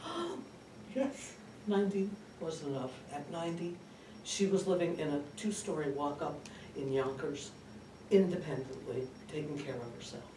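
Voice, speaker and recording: A man playing a devoted, worn-out woman in her late sixties in a comic stage monologue, soft-spoken and swooping. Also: fairly high pitch, lively intonation, moderate pace, natural voice. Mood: dismayed, weary. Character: devoted, worn-out 68-year-old woman caring for her mother. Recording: distant, roomy, noisy live recording.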